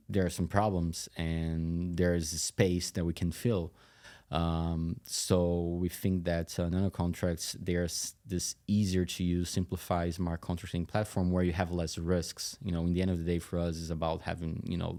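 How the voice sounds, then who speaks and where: A man explaining things conversationally as an interview guest, in a halting way with frequent asides. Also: deep voice, dark tone. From Brazil. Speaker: tech company CEO, interview guest.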